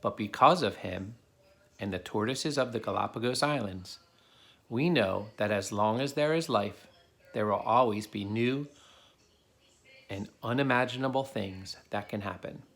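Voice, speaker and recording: A man's voice: on the low side, unhurried, a bit bright. A man reading a children's picture book aloud as a father would to his child, in a plain storytelling way.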